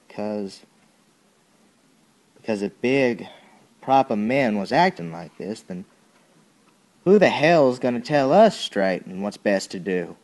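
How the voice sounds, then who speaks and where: A man playing a young Southern soldier in an audio drama, giving a plain-spoken, folksy, halting account full of bitterness and grief. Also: on the low side, darkish tone. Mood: bitter bewilderment. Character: young Southern soldier recounting a childhood atrocity, bitter and grieving. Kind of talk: dramatic first-person monologue, audio drama.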